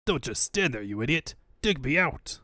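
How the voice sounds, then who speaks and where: A man's voice: fairly high pitch, very animated, normal speed, bright, forceful tone, no echo, studio quality, full character voice. A man voicing a vain, pompous cartoon mad-scientist tyrant, grandiose and given to bellowed orders. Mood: angry.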